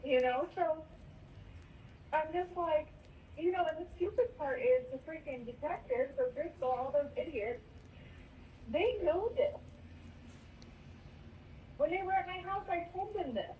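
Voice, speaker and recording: A woman's voice: high voice, animated, a little slow, long pauses, dark tone. A woman chatting casually on a jail phone call, incredulous, scoffing and swearing freely. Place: phone call played in courtroom. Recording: noisy jail phone call, played back distantly.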